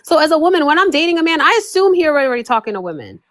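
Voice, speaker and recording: A woman's voice: sits high, sing-song, rapid-fire, dry recording, studio quality. A woman, hosting a casual group chat in a sassy, emphatic way and calling people honey. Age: 40s.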